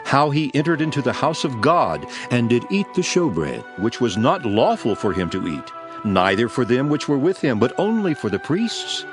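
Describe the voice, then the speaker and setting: A man voicing Jesus in a dramatized Bible reading, solemn and measured with bursts of intensity. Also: medium pitch, very animated, natural voice. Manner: solemn measured delivery, rising to intense exclamations. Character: Jesus, calm grown man teacher, solemn and gentle. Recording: studio voice with music beneath.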